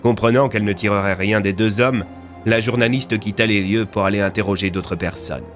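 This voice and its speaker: A man's voice: on the low side, fairly even intonation, a little fast, fairly bright tone, soft background music. A man narrating a scripted audio drama as a storyteller.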